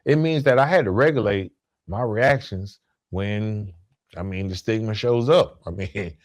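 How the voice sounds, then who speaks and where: A man hosting a solo motivational podcast, speaking emphatically and deliberately, repeating key phrases and checking in with the listener. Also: lowish voice. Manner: conversational check-ins like "right?" and "okay?". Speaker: podcast host.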